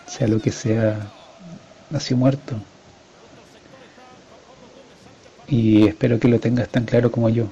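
Male actor as conflicted divorced father, Chilean accent, soft-toned timbre, confessional, colloquial, radio drama, slightly lo-fi. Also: mid-range voice, slight background noise, natural voice. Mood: firm, conflicted. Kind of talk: radio drama monologue acting. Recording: slightly dull, lo-fi sound.

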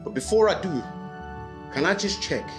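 A man's voice: high voice, expressive, normal speed, over music. A man playing an awkward, lovesick best friend in a comic pantomime, blurting out words with exasperation. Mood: anxious. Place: indoor room, video call.

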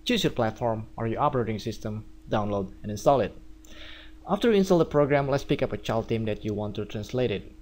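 A man from Indonesia with an Indonesian accent, walking viewers through a software tutorial step by step. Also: on the low side, brisk. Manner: steady step-by-step explaining. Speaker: theme developer. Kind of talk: screencast tutorial.

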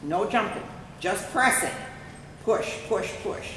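A woman's voice: mid-range voice, expressive, takes it slowly, a bit bright, recorded from a distance. A woman coaching a horse rider live, calling out short commands and often repeating them. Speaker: horse riding instructor. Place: large indoor riding arena. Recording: very echoey, reverberant hall with faint noise.

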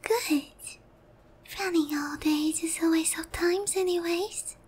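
A lonely young witch girl, played by a woman, speaks in a very high, soft, breathy voice. She coaxes sweetly and slowly with a possessive edge, in ASMR roleplay style, over audible background noise. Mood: sweet, cheerful. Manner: slow, gentle, coaxing.

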